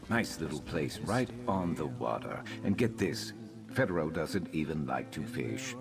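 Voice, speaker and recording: An actor playing a gruff, sardonic lizard-man mercenary in a fantasy video game, with a dry, deadpan delivery. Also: rumbling low, a little slow, full character voice. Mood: dry, wry. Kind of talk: video game character dialogue.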